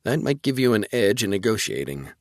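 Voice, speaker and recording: A man's voice: lowish voice, big pitch swings, fairly quick, dry recording, clean studio sound. A man voicing a shrewd, forthright female ship's officer in a sci-fi audiobook. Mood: shrewd, frank. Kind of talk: audiobook character dialogue.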